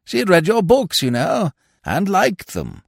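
A man's voice: sits high, wildly swooping pitch, measured pace, creaky, no echo, studio quality, voice pushed up, full character voice. A man voicing a fussy, absent-minded old lord in an audiobook, with a stammering, blurting way of talking. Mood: apologetic, affable.

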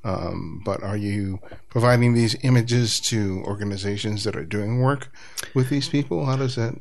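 Male podcast host, low, gravelly, thoughtful, stammers into questions. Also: moderate pace. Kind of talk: interview questions.

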